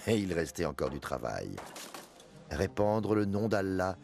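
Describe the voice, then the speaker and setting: Deep, solemn devout storyteller. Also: male voice, animated, measured pace, vocal fry, a little mellow, heavily acted. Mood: solemn, triumphant. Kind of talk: dramatic scripted narration for an animated film. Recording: music under the voice.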